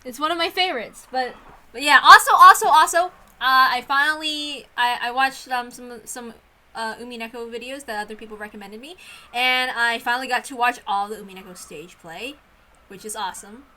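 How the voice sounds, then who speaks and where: Girl streamer just chatting, high sharp bright voice, rambly n apologetic, keeps cutting herself off. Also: fairly quick. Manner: rambling, apologetic, self-interrupting. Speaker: streamer who reads visual novels aloud. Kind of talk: casual livestream chat.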